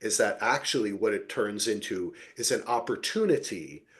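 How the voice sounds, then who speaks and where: A man's voice: lowish voice, animated, normal speed, fairly bright tone. A man hosting a casual TV recap podcast, speaking emphatically, swearing freely and acting out people's reactions. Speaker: podcast host.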